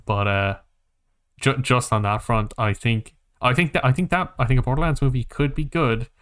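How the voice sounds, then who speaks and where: A man's voice: medium pitch, moderate pace, a bit bright, smooth. A man hosting a casual podcast about games and films, thinking aloud in a hesitant, rambling way. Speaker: podcast host.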